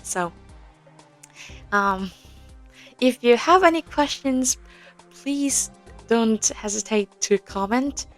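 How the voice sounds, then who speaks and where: A young woman talks slowly and unhurriedly, explaining a game in a casual walkthrough. She hesitates often, filling gaps with ums and small nervous laughs. Faint music sits behind her. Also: on the high side, animated. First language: Korean. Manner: hesitant, many fillers, soft nervous laughs. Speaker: audio game tutorial presenter.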